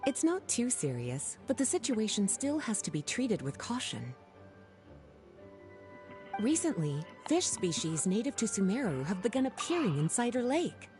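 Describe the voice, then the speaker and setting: A woman voicing a poised, unhurried scholar who explains matters in a composed, measured way, in video game dialogue with game music behind. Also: medium pitch, sing-song, darkish tone, lightly acted.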